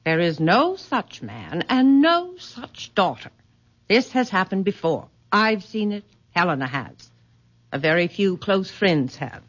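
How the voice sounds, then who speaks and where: A genteel middle-aged mother in a vintage radio drama. She is coolly controlling and formal, her intonation lively and theatrical, on a dull old recording. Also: mid-range voice. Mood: patronizing, composed. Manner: formal, measured, condescending endearments.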